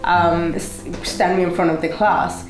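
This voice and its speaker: A woman's voice: fairly low pitch, steady tone, measured pace, echoey room, rough recording. A young woman from Pakistan whose first language is Urdu, telling her life story to an interviewer with many fillers and restarts. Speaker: motivational speaker, guest. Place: indoor studio set with brick wall, seated at table.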